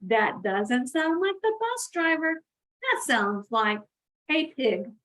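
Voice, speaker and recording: A woman voicing a spirited, bossy young farm girl in a storybook, with drawn-out, emphatic words. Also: high voice, big pitch swings, a bit bright, full character voice. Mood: suspicious.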